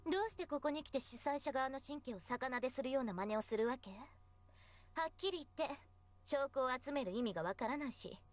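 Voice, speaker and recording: A woman's voice: high-pitched, animated, very fast, faint music behind. A woman playing a scornful, taunting teenage schoolgirl in a Japanese visual novel game. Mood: scornful, mocking.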